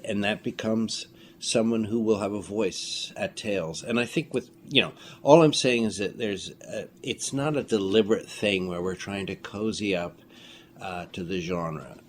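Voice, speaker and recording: Male filmmaker as interview guest, lowish voice, reflective and articulate, light background noise. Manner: deliberate, articulate, explanatory. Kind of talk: reflective conversational talk. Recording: faint background room noise.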